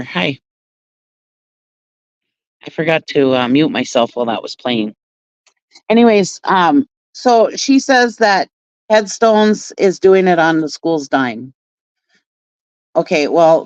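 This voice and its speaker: A woman's voice: sits low, dry recording. A woman hosting a casual livestream who rambles, chats with her viewers and laughs often. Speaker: channel host reacting to clips. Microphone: phone. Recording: dry, dull lo-fi sound.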